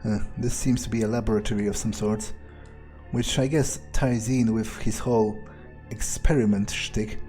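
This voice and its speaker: A man's voice: fairly low pitch, fairly even intonation, moderate pace, warm tone, faint music behind. A man doing in-character video game commentary, thinking aloud in a hesitant, musing, sardonic way with casual swearing. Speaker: gaming video creator playing in character.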